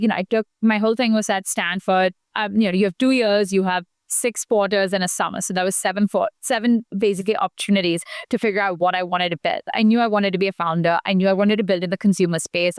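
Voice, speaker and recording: A woman's voice: mid-range voice, clear, resonant tone, no room sound, clean studio sound. A young woman from India, a podcast guest, talking casually and chattily with many fillers. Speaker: podcast guest, founder and matchmaker. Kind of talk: casual interview talk.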